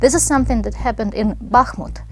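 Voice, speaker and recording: A woman's voice: on the high side, a little fast, slight background noise. Impassioned woman. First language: Ukrainian. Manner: impassioned, rhetorical questioning. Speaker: interviewee. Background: faint background music.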